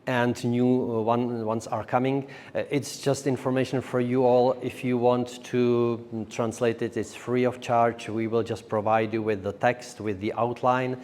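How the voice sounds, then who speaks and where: A man giving a semi-formal conference presentation as a project speaker, with a halting, hesitant delivery. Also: medium pitch, level tone, a little mellow. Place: large echoey indoor hall. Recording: echoey hall with long reverb.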